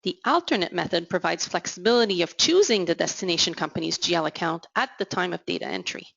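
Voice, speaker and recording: A woman giving a measured, explanatory software product demo as voice-over, slowing down on key terms. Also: lowish voice, lively intonation, creaky, dry recording, lo-fi sound. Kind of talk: scripted product demo narration.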